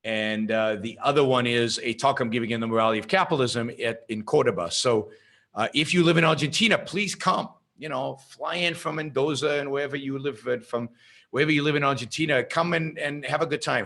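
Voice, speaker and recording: A man's voice: mid-range voice, fairly quick, gravelly, a bit bright. Upbeat rambling male podcast host. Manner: rambling, upbeat, with frequent fillers and restarts. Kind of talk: casual monologue announcing upcoming talks.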